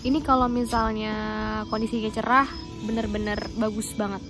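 A woman's voice: fairly high pitch, fairly even intonation, normal speed. A woman chatting casually to her viewers while camping outdoors, with music playing behind her. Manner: chatty, addresses viewers directly. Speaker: camping vlogger. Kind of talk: casual vlog chat to camera. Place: outdoors at a misty hill campsite and in a tent. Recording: talk over loud background music.

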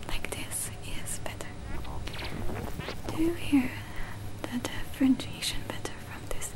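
A young woman whispers gently and breathily in an ASMR roleplay as a repair technician, attentive and soothing, close to binaural microphones. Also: sits high, measured pace, low-quality recording. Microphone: close binaural microphones, moving left and right.

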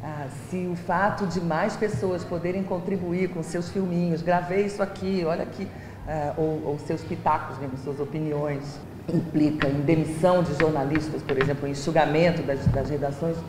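A woman's voice: low voice, steady tone, normal speed. A middle-aged woman with a Brazilian accent, a researcher giving her view in an interview discussion. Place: classroom-like room, indoors. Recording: noisy echoey room.

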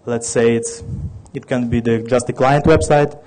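A man's voice: medium pitch, steady tone, normal speed, a little mellow. A man in his thirties from Ukraine with a Russian accent, giving a technical conference talk with many restarts and fillers. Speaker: conference speaker.

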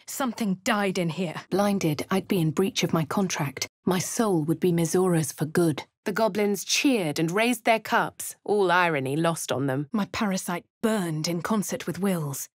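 A posh British adventurer. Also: female voice, fairly low pitch, unhurried, hardly any pauses, no echo, studio quality. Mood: composed. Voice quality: crisp, well-spoken. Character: posh young adult woman adventurer, refined. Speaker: player character. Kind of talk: game voice lines and narration.

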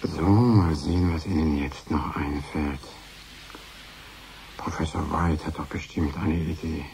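A man's voice: rumbling low, fairly even intonation, darkish tone. An actor playing a brooding, sardonic man muttering bitterly to himself in a radio play. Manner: slow musing, muttering in short broken phrases. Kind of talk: 1980s German radio play monologue. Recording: hissy archive radio recording.

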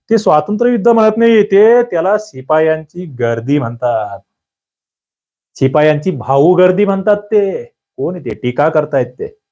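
Lively male exam tutor. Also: fairly high pitch. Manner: lively, quizzing listeners with rhetorical questions. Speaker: exam coaching teacher. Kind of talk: exam prep lecture. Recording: dull lo-fi audio.

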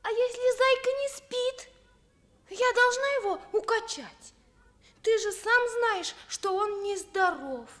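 A woman's voice: very high voice, slow pace, a bit bright, heavily acted. A woman playing a tender, stubborn little girl in a Soviet radio play, crooning and protective. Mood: protective, insistent. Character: tender, stubborn little girl nursing her toy hare.

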